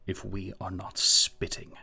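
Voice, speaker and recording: A man playing a grave, brooding philosopher in an audio drama, husky and gravelly, with a solemn, measured, theatrical delivery. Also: low voice, monotone, pushed and bright, full character voice. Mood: solemn, ominous. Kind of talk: dramatic audio play acting.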